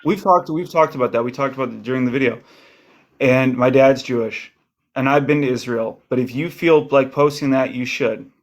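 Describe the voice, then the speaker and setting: Male livestream host, mid pitch, easygoing rambler. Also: normal speed. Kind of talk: casual chat.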